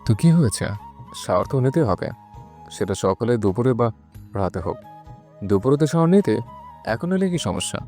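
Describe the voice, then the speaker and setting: A man's voice: medium pitch, sing-song, moderate pace, pauses often, mellow, faint music behind, lightly acted. A man playing a bossy, teasing young husband in an audio love story, speaking in a curt, commanding manner.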